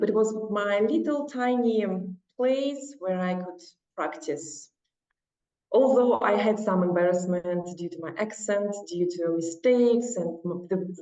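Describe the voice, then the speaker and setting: Woman, Russian accent, mellow low voice, earnest and encouraging, echoey far-off dull recording. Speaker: guest. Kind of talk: casual interview talk. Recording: echoey, distant, dull lo-fi sound.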